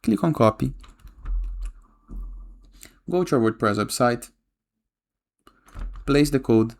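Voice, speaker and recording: Male tutorial host, casual step-by-step screen voice-over, noticeable vocal fry, mid-range pitch. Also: lively intonation, takes it slowly. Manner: frequently tags instructions with a questioning "okay?".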